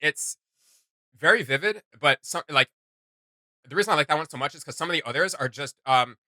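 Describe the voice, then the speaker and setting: A man's voice: on the high side, lively intonation, brisk, pushed and bright, no room sound. A man co-hosting a reaction video, commenting casually in an informal, slangy, joking way.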